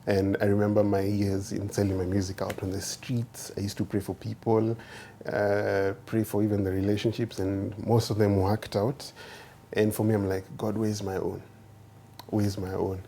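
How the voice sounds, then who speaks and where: A Kenyan man with a low, mellow voice shares a personal testimony in an interview setting. He speaks reflectively, often repeating a phrase to stress it. His voice has a gravelly edge, and faint ambient noise sits around it. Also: little pitch movement, normal speed. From Nairobi, Kenya. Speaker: interviewee, groom, chef and musician.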